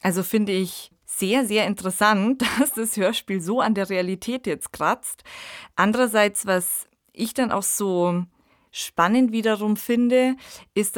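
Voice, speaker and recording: A woman's voice: mid-range voice, no echo, studio quality. A woman hosting a podcast, talking conversationally in a discussion. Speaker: podcast host.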